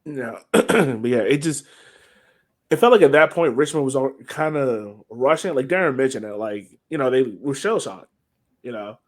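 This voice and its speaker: A man's voice: medium pitch, animated, moderate pace. A man co-hosting a sports podcast, breaking down a soccer match in an informal way, thinking aloud as he goes.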